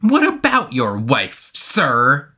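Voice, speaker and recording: A man voicing an impatient aristocratic gentleman who speaks in a clipped, imperious way. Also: mid-range voice, huge pitch swings, takes it slowly, slightly rough, heavily acted. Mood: curt imperious.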